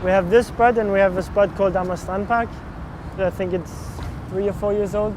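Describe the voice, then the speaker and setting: A young man with a Danish accent, giving short, halting answers in a casual skateboarding interview. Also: high voice, steady tone. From Copenhagen, Denmark. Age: young adult. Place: outdoors in the city. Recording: loud outdoor traffic noise. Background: loud traffic and city ambience.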